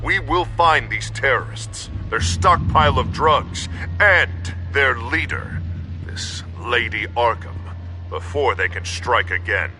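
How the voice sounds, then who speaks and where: Stern, resolute mayor for a video game: medium voice, formal speechmaking over music. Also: male voice, medium pitch, expressive, slow pace. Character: stern, self-righteous male mayor making televised address.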